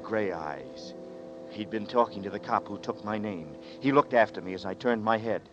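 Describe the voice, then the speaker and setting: A man's voice: fairly low pitch, lively intonation, normal speed, lightly acted. An actor playing a dazed man who narrates his own ordeal with tense, brooding delivery in 1940s radio drama style. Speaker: narrator and lead. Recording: muffled old radio broadcast with music.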